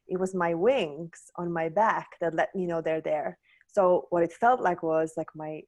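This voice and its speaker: A woman's voice: lowish voice, a little mellow. A woman from Poland telling her personal story as a podcast guest, candid and searching, often restarting her sentences.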